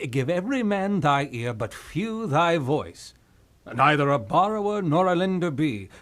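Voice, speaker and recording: A man playing a pompous old father handing out fussy, sententious advice in a theatrical Shakespearean puppet play. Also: fairly high pitch. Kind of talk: theatrical Shakespearean stage acting for puppet show.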